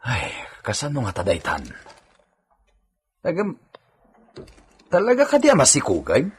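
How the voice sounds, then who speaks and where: Man acting a husky, lively hacienda servant. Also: mid-range voice, a little slow, heavily acted. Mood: concerned. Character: lively, teasing male hacienda servant, deferential to his masters. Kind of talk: Ilocano radio drama acting.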